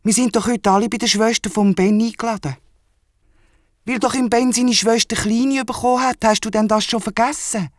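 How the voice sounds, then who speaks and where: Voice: medium pitch, fairly even intonation, normal speed, no echo, studio quality, voice pushed up, close to an everyday voice. A storyteller voicing a small, exasperated know-it-all kangaroo, chiding and emphatic, with a Swiss German accent in a children's audio story.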